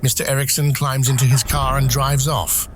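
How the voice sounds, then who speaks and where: A male narrator delivers dramatic scripted narration in a medium-pitched voice. His phrasing is measured and deliberate. Music plays clearly behind him. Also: level tone.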